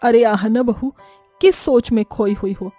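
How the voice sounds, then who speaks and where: A woman voicing a homely, bossy middle-aged mother-in-law who gives brisk instructions in an animated family story. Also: fairly high pitch, soft background music, voice pushed down. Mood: welcoming, brisk.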